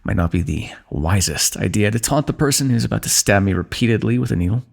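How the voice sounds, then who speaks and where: A man playing a weary, wry fantasy warrior in an ASMR roleplay, speaking in measured, formal phrases with dry teasing. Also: on the low side, animated, vocal fry. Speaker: ASMR voice actor. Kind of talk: ASMR fantasy roleplay, one-sided dialogue.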